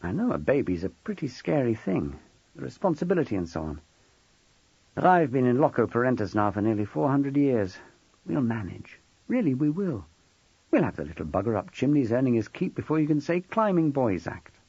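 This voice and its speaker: A man voicing a protective husband who speaks gently and jokes dryly. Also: medium pitch, big pitch swings, fairly quick, slightly rough, no room sound. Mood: gentle, wry. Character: wry, protective older husband, teasing. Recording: dull, dry lo-fi recording.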